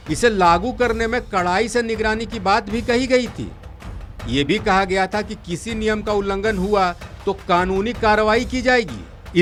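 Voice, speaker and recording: A man reading scripted news as a presenter, in a formal, measured delivery. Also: high voice, fairly bright tone. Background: faint background music.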